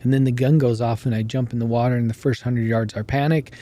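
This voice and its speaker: A man hosting a podcast, chatting casually with a hoarse voice that keeps going out and telling stories in an animated way. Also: mid-range voice, fast. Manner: animated storyteller who laughs while telling. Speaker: podcast host. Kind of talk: casual interview chat.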